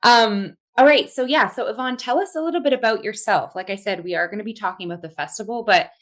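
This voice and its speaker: A woman's voice: on the high side, fast. A woman hosting a talk show, chatting casually with her guest, laughing along and offering short affirmations. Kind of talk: casual interview chat.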